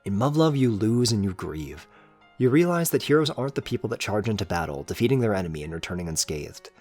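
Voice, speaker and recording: A man's voice: on the low side, very animated, fast, a little mellow. A man reading scripted, analytical video essay narration in an earnest, articulate way with formal wording. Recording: music behind the voice. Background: background music.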